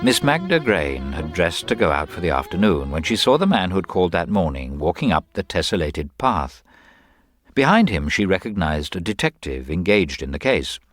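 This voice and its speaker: Low-voiced man narrating a detective story. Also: big pitch swings, moderate pace, a bit bright, soft background music.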